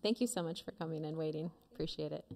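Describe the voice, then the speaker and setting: A woman's voice: sits low, quick pace, gravelly, dark tone. Polite woman chairing a meeting. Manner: polite, brief thanks and prompts.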